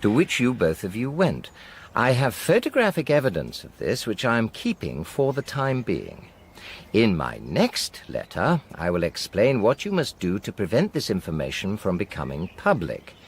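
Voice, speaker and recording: Animated British middle-aged husband. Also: male voice, fairly low pitch, moderate pace, breathy edge, full character voice. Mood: dry reading aloud. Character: middle-aged husband and father, wry, earnest. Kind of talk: naturalistic radio drama acting.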